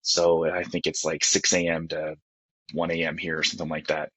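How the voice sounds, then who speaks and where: A man's voice: very deep voice, completely flat delivery, normal speed, fairly bright tone, no echo. A man chatting casually as a panelist in a laid-back, colloquial way, heard through muffled lo-fi audio. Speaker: panelist on a ham radio discussion show. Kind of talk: casual chat.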